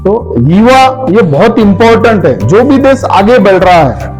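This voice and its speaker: Male motivational speaker, high register, interactive and repetitive for emphasis, over faint music. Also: sing-song, measured pace, a little mellow. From Maharashtra, India. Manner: interactive, asks the audience questions, repeats phrases for emphasis. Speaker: motivational speaker for direct selling. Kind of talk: motivational seminar speech with personal anecdotes.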